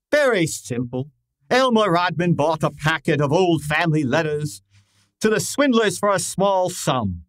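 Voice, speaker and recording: A man's voice: high-pitched, no room sound, clean studio sound. A man playing a pompous, exacting private detective in an old-time radio drama, with formal, precise and theatrical diction.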